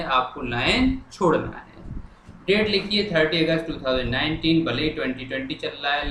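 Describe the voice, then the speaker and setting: A male teacher with a fairly high, bright voice explains material line by line, repeating and translating as he goes. He often asks a question and answers it himself. The room is noticeably echoey, with faint noise behind him. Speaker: English teacher.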